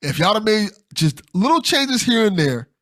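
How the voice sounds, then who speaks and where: A man's voice: high voice, sing-song, moderate pace, a bit bright. A man hosting a casual podcast chat, often repeating his first words as he starts a sentence. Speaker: podcast host, interviewer.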